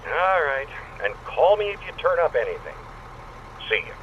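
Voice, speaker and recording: A man's voice: a little high, big pitch swings, moderate pace, lo-fi sound, slight background noise. An actor playing a worried, protective businessman in a radio mystery, talking in an agitated way over a telephone. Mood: worried, reluctant. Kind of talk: radio mystery drama acting.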